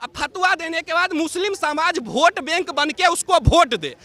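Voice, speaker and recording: A man's voice: very high voice, animated, normal speed, bright, forceful tone. A man making an impassioned political argument in a street debate, combative and full of rhetorical questions. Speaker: member of the public in a street debate.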